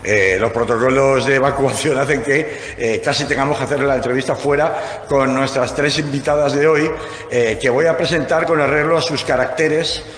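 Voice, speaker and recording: A man's voice: mid-range voice, a bit bright. A man introducing an interview on location at a theater, chatty and loose with fillers. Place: theater premises. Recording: echoey hall with faint background noise.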